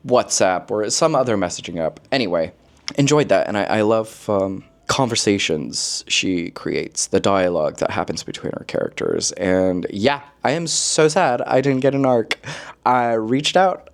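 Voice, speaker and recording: A man chatting casually as a book vlogger, expressive and slangy, with playful asides. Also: lowish voice, moderate pace. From lives in Korea, origin unstated. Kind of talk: casual chat, book recommendations to camera.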